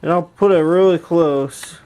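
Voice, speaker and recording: A man's voice: on the high side, a little slow, warm tone. A man giving casual, rambling hands-on narration of a hobby video from behind a handheld camera in a room at home, often repeating himself. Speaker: YouTube hobbyist demonstrating intercom gear. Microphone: handheld camera, speaker behind it.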